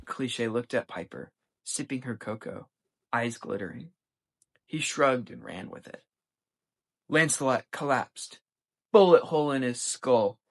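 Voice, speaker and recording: American teen reading their own short story out loud, acting it up a bit. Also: medium pitch, lively intonation, measured pace, creaky, pauses often. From United States. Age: teens. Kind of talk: scripted dramatic story reading.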